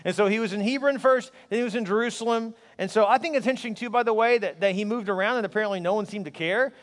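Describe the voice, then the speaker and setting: A pastor in his forties preaching a sermon to a large congregation, energetic and conversational, with quips thrown at the crowd. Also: high-pitched, racing. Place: large church auditorium. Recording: long hall reverb.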